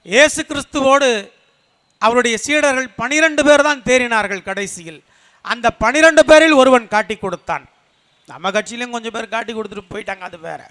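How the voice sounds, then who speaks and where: A man's voice: very high-pitched, fairly quick, pushed and bright. A man giving a fiery political rally speech as a party speaker, hammering points with rhetorical questions and repetition. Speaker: party speaker at a public meeting.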